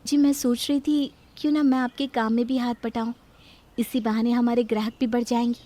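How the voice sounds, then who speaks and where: A woman voicing a gentle, humble young wife, polite and eager, in a cartoon moral story. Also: on the high side, flat delivery, a little fast, lightly acted. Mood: kind, eager.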